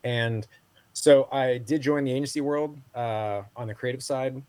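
A man's voice: medium pitch, fairly even intonation, measured pace, a little mellow, clean tone, no room sound. A man in his forties, a podcast guest, talking casually with many fillers and restarts. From Southeast Asia. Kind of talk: casual interview talk.

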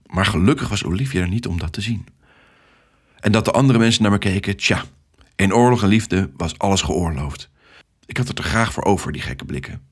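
A man narrating a children's story in the first person, acting it out expressively with dramatic turns and hushed asides. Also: deep voice, animated, a little fast, breathy edge.

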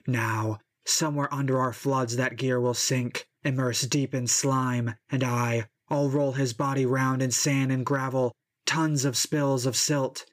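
A man's voice: flat delivery, a little slow, few pauses, close to an everyday voice. A man reading an epic poem aloud, voicing a furious male river god who threatens to drown a warrior. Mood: wrathful. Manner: booming, vengeful threats.

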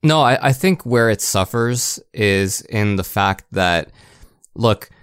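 A man's voice: fairly low pitch, lively intonation, takes it slowly, smooth, dry recording, studio quality. A man co-hosting a podcast, casually discussing video games and often checking in as he makes his points. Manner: conversational, punctuates points with rhetorical check-ins. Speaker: podcast host.

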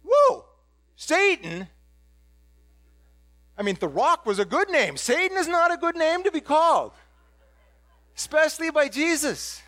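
A blunt, ordinary middle-aged fisherman apostle, played by a man speaks in a rather high voice to a congregation. He rambles and stumbles over restarts in a casual, excitable way. The sound is dry and close. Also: a little slow, long pauses, no room sound, exaggerated voice. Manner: excitable, blurting. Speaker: dramatic first-person preacher. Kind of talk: first-person biblical monologue to a congregation.

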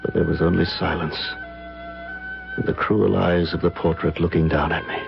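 A man's voice: low-pitched, moderate pace, vocal fry, over music. A man playing a troubled, headstrong guest in an old radio mystery, speaking and narrating with dramatic intensity. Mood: haunted, narrating. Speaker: lead actor and narrator. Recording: muffled old radio broadcast.

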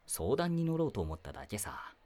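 A lively, melodic male voice plays a meddling teacher, acted by a man in a voice drama. He teases and probes a student, then lectures in a wry tone. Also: lowish voice, a little fast, no room sound. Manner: wry, lecturing, gently probing. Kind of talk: voice drama acting.

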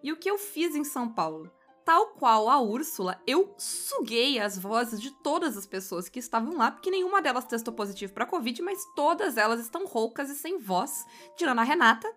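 A Brazilian woman with a high, bright voice chats as a podcast co-host. She tells stories in casual banter with a playful, mock-bossy streak, over faint music. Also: very animated, moderate pace.

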